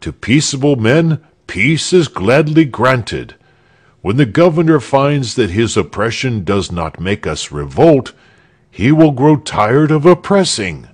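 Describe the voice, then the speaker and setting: A stoic hero of few words, as a man reading aloud puts him on, delivers solemn proverbs in a firm, raised voice. The delivery is slow and weighty, with a husky edge. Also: medium pitch, very animated, voice pushed up, full character voice. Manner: solemn, aphoristic.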